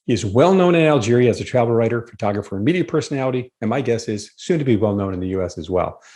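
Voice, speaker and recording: A man's voice: mid-range voice, animated, very fast, darkish tone. A man hosting a podcast, reading an upbeat scripted introduction and then chatting with his guest. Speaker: podcast host.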